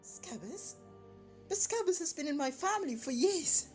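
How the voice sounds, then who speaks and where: High, swooping, indignant boy voiced by a woman. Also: lively intonation, moderate pace, darkish tone, soft background music. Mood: alarmed, defensive. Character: scared, indignant teenage boy. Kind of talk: audio drama acting.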